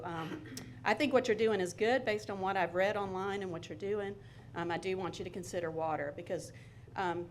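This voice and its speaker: A woman's voice: mid-range voice, a little hiss or hum. A woman from Georgia making an earnest, slightly rambling public comment at a city council hearing, with frequent ums. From Georgia, USA. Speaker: resident giving public comment.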